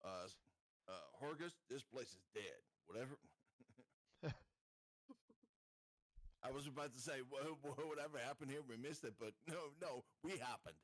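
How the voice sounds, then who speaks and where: A man chats casually as a player in a tabletop game. His pitch is average and his delivery is jokey and informal. The sound is very dry, with hardly any room. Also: normal speed, long pauses, no room sound. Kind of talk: casual joking game chat.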